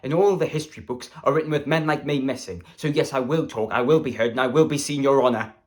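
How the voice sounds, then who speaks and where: A man playing an impassioned, defiant witness giving a forceful theatrical speech in court. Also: mid-range voice, fast, natural voice.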